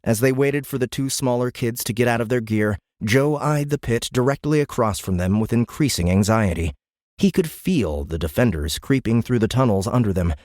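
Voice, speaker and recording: A man narrating a military science fiction audiobook in a measured, grave, dramatic way. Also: mid-range voice, lively intonation, a little mellow, dry recording, clean studio sound. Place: dry recording studio.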